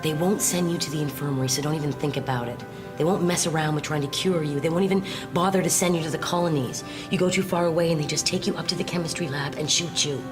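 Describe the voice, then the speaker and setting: An actress plays a tough, defiant captive in a radio drama. Her voice is low, her manner blunt and urgent, and music plays behind her. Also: fast, natural voice. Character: tough, blunt, defiant young woman prisoner.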